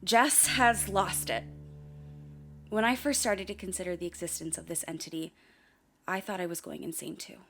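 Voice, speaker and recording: A woman's voice: lowish voice, steady tone, moderate pace, fairly bright tone, slight background noise. An actress playing a shaken but determined young woman, telling her story in earnest, confiding first-person narration for an audio drama. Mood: confiding, grave.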